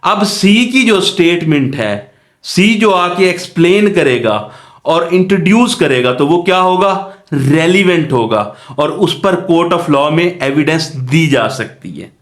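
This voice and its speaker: Pakistani man, law lecturer, unhurried delivery, explanatory and didactic, asks and answers his own questions, roomy echo. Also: fairly high pitch, animated. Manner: didactic, poses questions and answers them himself. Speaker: law teacher. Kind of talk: explanatory lecture with worked examples. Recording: echoey room.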